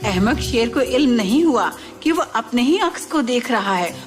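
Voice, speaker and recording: A woman narrates a children's story in scripted style. Her voice is a little high and very animated in pitch, with music clearly playing behind. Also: normal speed.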